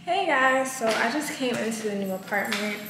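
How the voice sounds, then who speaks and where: A woman's voice: on the high side, animated, moderate pace. A young woman vlogging to camera, chatty and encouraging, with casual slang, filmed on a close handheld camera in a car and then an empty apartment. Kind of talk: casual vlog talk to camera. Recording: noisy car then echoey room.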